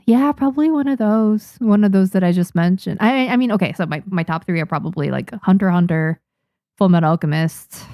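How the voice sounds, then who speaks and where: Low gravelly-voiced young Japanese woman. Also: darkish tone, dry recording, clean studio sound. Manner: chatty, many restarts and small laughs. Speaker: manga artist streamer. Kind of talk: casual livestream chat reading viewer comments.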